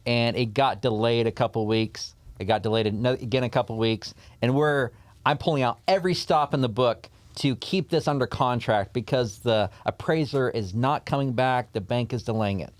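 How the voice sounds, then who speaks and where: A man's voice: mid-range voice, normal speed, no room sound. Man, podcast guest, earnest storytelling, casual chat, mid-range voice, dry sound. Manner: earnest, tells anecdotes.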